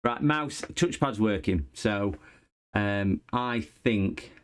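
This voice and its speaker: Chatty low-voiced repair streamer. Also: male voice, takes it slowly, clear, resonant tone. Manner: chatty, chuckling, replies to viewers while working. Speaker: repair channel host. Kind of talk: casual live-stream chat while repairing.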